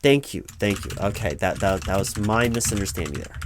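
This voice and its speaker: A man's voice: on the low side, a little fast, noisy background, natural voice. A man playing a flustered, polite corporate hiring manager in a comedic interview roleplay, stammering and hesitating as he goes, with keyboard typing. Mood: uneasy, polite. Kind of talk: one-sided comedic job interview audio roleplay. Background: computer keyboard typing, tapping.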